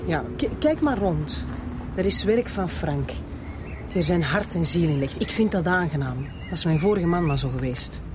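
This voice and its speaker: A woman's voice: on the low side, lively intonation, moderate pace, noisy background, lightly acted. An actress playing a curt, defensive divorced Flemish woman under questioning in a radio drama.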